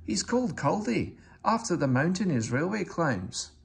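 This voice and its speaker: A man voicing a touchy male engine with a Scottish accent, hoarse and hushed, then indignant. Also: fairly high pitch, big pitch swings, normal speed, voice pushed up, exaggerated voice.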